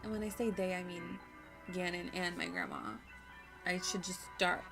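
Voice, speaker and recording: A woman's voice: fairly low pitch, little pitch movement, normal speed. Woman streamer rambling casually over a game. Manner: rambling, giggly, playful asides. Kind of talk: casual game playthrough commentary. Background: game music.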